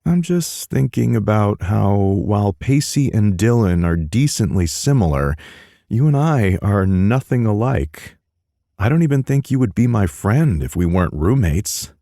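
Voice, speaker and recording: A man's voice: fairly low pitch, big pitch swings, a little slow, creaky, mellow, no room sound, studio quality, pitched above the performer's own voice, full character voice. A man doing a wisecracking young college guy. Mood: bantering. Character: young college man, joking yet guarded. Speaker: college student.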